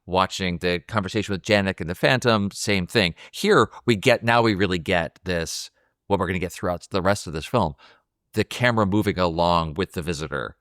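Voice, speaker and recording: A man with a fairly low voice talks through a film in a casual, enthusiastic podcast style. He thinks aloud as he goes, and the sound is dry and close. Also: brisk, a bit bright, clean tone, no echo. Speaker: podcast host.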